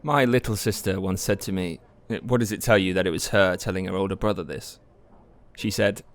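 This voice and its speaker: An actor playing a wry, self-deprecating married English teacher, confiding in a conversational way in an audio drama. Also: male voice, low-pitched, brisk. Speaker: actor narrating an audio play. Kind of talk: audio drama monologue and dialogue.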